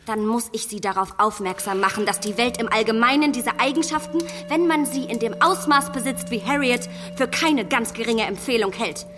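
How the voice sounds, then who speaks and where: A clever, headstrong young woman, her voice a touch high. She argues back with spirit and defiance in a radio play. A long reverb and faint music sit behind her. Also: normal speed, hardly any pauses, sharp tone. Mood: defiant.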